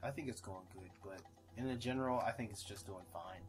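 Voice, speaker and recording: A man's voice: fairly low pitch, a little fast, soft background music. A young man chatting casually with a friend, hesitant and giggly, making fun of himself. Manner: hesitant, giggly, self-mocking. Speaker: guest friend. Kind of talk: casual chat.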